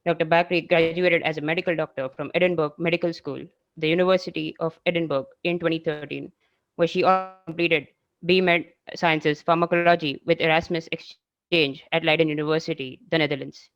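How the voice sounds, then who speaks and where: An event co-host reading a formal introduction of the speaker in a steady manner, over a video call. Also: medium pitch, brisk, dry recording. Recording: dull, dry lo-fi call audio.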